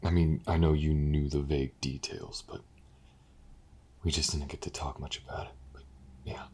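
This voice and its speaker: A man playing a weary, grieving man in an intimate audio drama, speaking in a hushed, halting, confiding way with a gravelly, husky voice. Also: a bass voice, level tone, slight background noise. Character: weary, grieving man haunted by his murdered loved one. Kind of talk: intimate audio roleplay drama addressed to the listener.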